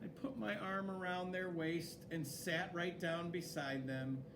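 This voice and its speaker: Guy reading a kids story, really expressive and dramatic, takes his time, noisy background. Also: high-pitched, a little slow. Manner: expressive, dramatic, with swooping emphasis. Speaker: story reader. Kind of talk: animated children's story read-aloud.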